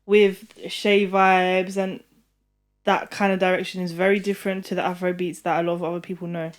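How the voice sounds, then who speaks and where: A woman's voice: fairly low pitch, level tone, moderate pace. A woman, co-hosting a casual music podcast, chatty and full of questions. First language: not English. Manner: chatty, asks lots of questions, says "do you get what I'm saying". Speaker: podcast co-host. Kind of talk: casual chat.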